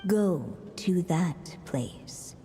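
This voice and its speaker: A mysterious female storyteller reads a game's fairy tale in a very slow, solemn way. Her voice is dark and mellow, trailing long reverb. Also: animated. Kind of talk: storybook narration in a video game. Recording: long hall reverb, noisy low rumble.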